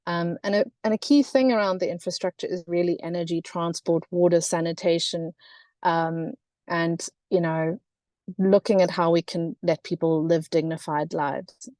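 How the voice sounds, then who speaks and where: A woman's voice: fairly low pitch, normal speed, a little mellow, dry recording. A South African woman in her thirties presenting in a webinar, talking with many fillers and restarts. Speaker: webinar guest speaker.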